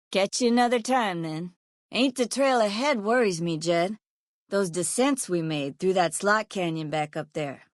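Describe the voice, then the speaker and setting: Woman voicing tough female ex-sheriff, game character, mid-pitched, blunt, foul-mouthed, sardonic, folksy grammar, close dry recording. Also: no echo, natural voice. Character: hard-bitten, foul-mouthed female caravan guard and ex-sheriff. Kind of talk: video game character voice lines.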